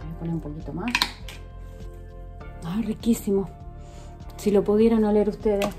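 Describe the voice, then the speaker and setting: A woman's voice: medium pitch, normal speed. Chatty woman making soap at home. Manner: chatty, addresses viewers directly, working while talking. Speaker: vlogger. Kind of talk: casual how-to demonstration. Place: home kitchen, indoors. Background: background music.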